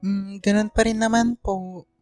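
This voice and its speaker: A woman's voice: mid-range voice, fairly even intonation, measured pace, a little mellow, no echo, faint music behind, close to an everyday voice. A woman voicing a dutiful, subdued young college student son in a radio drama, Filipino accent, polite, meek and hesitant.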